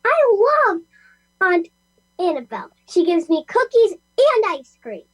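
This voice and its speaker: A woman's voice: extremely high pitch, big pitch swings, measured pace, full character voice, low-quality recording. A woman voicing a quirky, cheeky young daughter in an amateur audio play, whimsical and matter-of-fact. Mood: sweetly cheeky.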